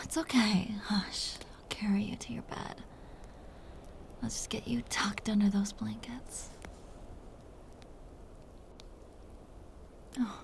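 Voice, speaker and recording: Vampire countess played by a woman: possessive yet doting, breathy close-up whisper with a creaky edge, mellow and soothing, intimate ASMR roleplay. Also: mid-range voice, slight background noise. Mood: tender teasing. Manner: slow, soothing, teasing endearments.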